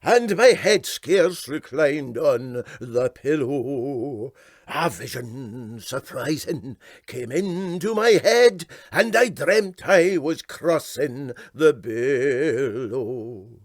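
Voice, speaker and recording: A man voicing a vain, sentimental and self-important steamboat skipper with a Scottish Highland accent, for a storybook. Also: very slow, no echo, clean studio sound. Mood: grandly warbling. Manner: ponderous, self-important, hesitant.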